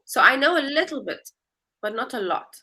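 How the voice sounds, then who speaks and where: A woman's voice: high-pitched, animated, normal speed, bright, forceful tone, breathy edge. A woman in her twenties with a South African accent, chatting as an English tutor on a video call and explaining things patiently. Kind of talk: casual video-call conversation.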